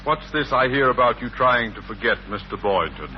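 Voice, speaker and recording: A man's voice: medium pitch, lively intonation, moderate pace, heavy background noise, natural voice. Dull 1950s radio recording, actor as irascible older male principal, pompous, curt, swinging intonation. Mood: officious. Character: gruff, pompous, irascible older man high-school principal. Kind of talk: 1950s radio sitcom acting.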